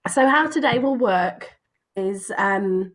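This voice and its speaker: A woman hosting an online event on a video call, slightly hoarse with a cough, hesitant with many ums. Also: medium pitch, expressive, takes it slowly, rough recording. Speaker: event host.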